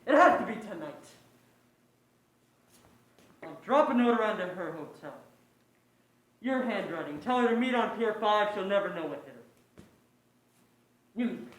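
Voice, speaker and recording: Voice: medium pitch, lively intonation, moderate pace, long pauses, fairly bright tone, natural voice. An actor playing a hard-boiled, scheming crime partner in a noir stage play, urgent and persuasive, with clipped talk. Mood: calculating, pressing. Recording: echoey stage recording.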